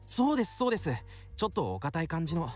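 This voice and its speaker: An eager young man in a visual novel, talking extremely fast and politely, with a smug edge. His pitch swings in a lively way over faint music. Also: no room sound. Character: eager, smug young male bomb and intel geek. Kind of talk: Japanese visual novel voice acting.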